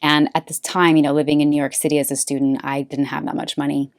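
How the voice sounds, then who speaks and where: A woman from California who hosts a face yoga podcast, telling her story in a relaxed, chatty way with lots of fillers. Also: low voice, fast, creaky, no echo, studio quality. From Bay Area, California. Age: late 20s or older. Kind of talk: casual personal storytelling monologue.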